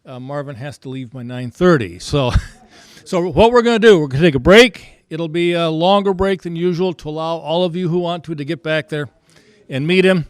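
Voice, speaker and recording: Male club president, slightly high smooth voice, folksy and halting, making informal announcements to members. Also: dry recording. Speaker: club president chairing a meeting. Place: club meeting room.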